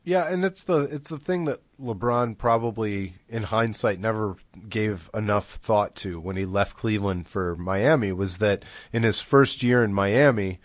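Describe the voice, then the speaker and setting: Deep-voiced man hosting a podcast. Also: measured pace, few pauses, dry recording. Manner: rambling, conversational. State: recovering from illness, deep voice. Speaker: podcast host. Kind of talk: casual sports chat.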